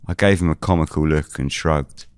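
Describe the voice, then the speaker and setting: A man playing a weary investigator who narrates a scripted audio drama in the first person, measured and brooding. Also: very deep voice, clear, resonant tone, no room sound. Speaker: narrator and lead character.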